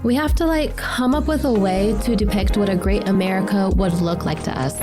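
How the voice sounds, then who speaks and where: An actress playing a casual, playful, slightly sulky teenage daughter in a naturalistic drama, both talking and narrating. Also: female voice, medium pitch, brisk, over music, natural voice. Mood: grumbling, teasing. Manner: casual, youthful. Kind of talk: naturalistic screen drama acting with reflective narration.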